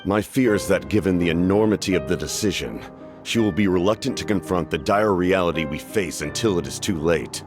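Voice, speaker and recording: An actor playing a grave, calculating military captain in a video game, speaking in a formal, measured and courteous way to persuade. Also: male voice, deep voice, over music, lightly acted. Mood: grave, ominous. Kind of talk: English game voice acting.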